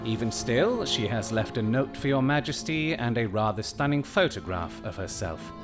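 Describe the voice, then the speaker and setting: A man playing a cool, shrewd detective in an audio drama, speaking with formal, measured courtesy and dry irony. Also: mid-range voice, lively intonation, music in the background, close to an everyday voice. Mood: grave, discreet. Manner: measured, formal, dryly ironic.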